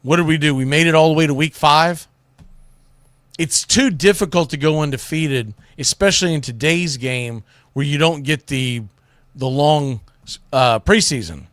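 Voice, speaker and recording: A male sports radio host with a slightly high voice, recorded close and dry. He gives casual, colloquial commentary with plenty of opinion, talking directly to the listener. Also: moderate pace, a bit bright, no room sound. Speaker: sports talk show host.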